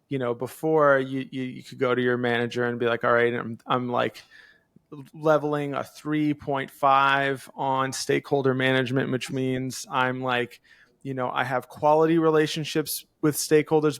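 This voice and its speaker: A man with a gravelly, creaky voice and average pitch hosts an interview. He speaks in a casual, rambling manner, recorded close and dry with very little room sound. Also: a bit bright, no room sound. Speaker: podcast interviewer, host. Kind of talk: casual conversational commentary.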